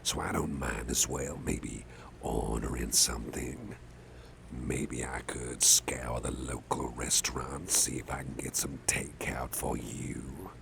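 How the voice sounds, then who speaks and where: A man playing a big, gruff but caring boss in an ASMR roleplay, murmuring gently with a gravelly, often breathy voice and soft hesitations. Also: very deep voice, steady tone, slow, noisy background, exaggerated voice. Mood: caring, attentive. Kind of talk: ASMR personal-attention roleplay.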